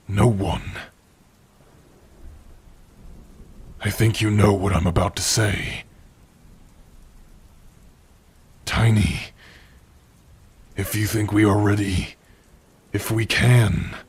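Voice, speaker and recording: A man playing a gentle, proud orc warrior and blacksmith in an intimate ASMR roleplay, speaking tenderly in a husky, breathy voice with long pauses. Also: on the low side, heavily acted. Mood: tender, earnest. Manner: slow, tender, measured delivery with long pauses. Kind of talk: ASMR roleplay, intimate second-person acting.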